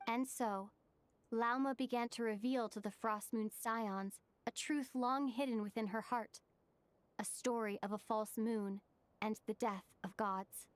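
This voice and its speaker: A woman narrating a story in a video game cutscene, in an even storybook manner. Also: a little high, a little slow, clean tone, natural voice.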